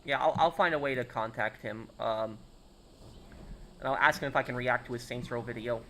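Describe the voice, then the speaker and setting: An American man in his twenties talks to his stream chat in a loose, hesitant way, full of "uh"s and restarts as he answers viewer questions. His pitch sits in an ordinary middle range. A faint hiss of room noise runs underneath. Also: moderate pace, fairly bright tone. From United States. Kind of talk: casual live-stream chat.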